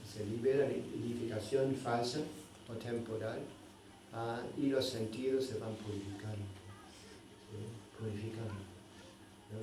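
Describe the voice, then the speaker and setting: A man with a soft, mellow tone teaches a scripture class, often pausing to hunt for a word and tagging his sentences with a questioning check. His voice rings through a very echoey hall. He sounds a little distant from the microphone, over a faint background hiss. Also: mid-range voice. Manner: searching for words, constant "¿no?" tags. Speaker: spiritual teacher. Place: indoor temple hall.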